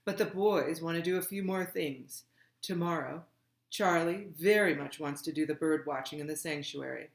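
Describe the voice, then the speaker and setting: A woman voicing a composed woman carer in a storybook, speaking in an even, measured, diplomatic way. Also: gravelly. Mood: calm, diplomatic. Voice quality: deep, even. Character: calm, composed woman looking after children. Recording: echoey room.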